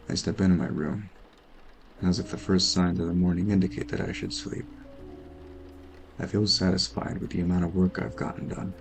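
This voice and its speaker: A man playing a weary, brooding detective, narrating in a measured film noir style for an audio drama. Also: low voice, creaky. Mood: exhausted. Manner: brooding, measured first-person monologue. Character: weary, sleepless noir detective brooding over murder cases. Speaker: voice actor narrating an audio roleplay.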